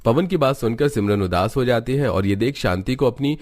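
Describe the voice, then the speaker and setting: A man's voice: mid-range voice, fairly quick, darkish tone. A man narrating a scripted story as a storyteller. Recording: music under the narration.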